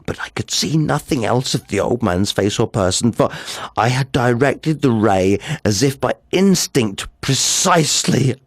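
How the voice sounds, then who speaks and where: A man's voice: mid-range voice, dry recording, voice pushed up. A man playing a nervous, obsessive madman confessing a murder, in a theatrical gothic horror monologue. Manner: theatrical, slow, swinging from hushed to agitated.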